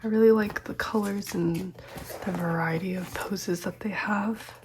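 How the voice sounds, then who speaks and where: A young woman with a touch of congestion talks slowly and softly, often dropping into a near whisper. Her pitch sits in a natural middle range, and she fills pauses with gentle ums. A faint hiss and small clicks sit under the voice. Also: fairly even intonation. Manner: gentle, chatty, lots of ums and small laughs. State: slightly sick, congested. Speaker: photocard collecting vlogger. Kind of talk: soft-spoken collecting vlog, often whispered.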